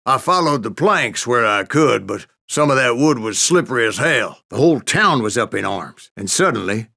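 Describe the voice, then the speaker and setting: An actor playing an old Wild West bounty hunter who tells boastful tall tales in a folksy American Western drawl for a video game. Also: male voice, mid-range voice, lively intonation, normal speed, vocal fry, sharp tone, no echo, clean studio sound, exaggerated voice. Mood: gritty, aggrieved. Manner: folksy, salty, cussing storyteller. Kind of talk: theatrical storytelling narration for a western video game.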